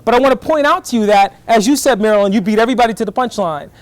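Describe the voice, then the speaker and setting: A middle-aged man giving a lively college lecture in fast bursts, often checking whether the class is following. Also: high voice, dry recording. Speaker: guest lecturer, professor.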